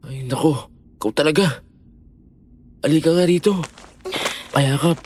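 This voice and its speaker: A caring young boyfriend in an audio drama, played by a man, with a fairly high voice for a male. He is patient and reasonable, talking earnestly and gently. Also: a little slow, a little hiss or hum, close to an everyday voice. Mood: affectionate, easygoing. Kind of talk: Filipino audio horror-story drama acting.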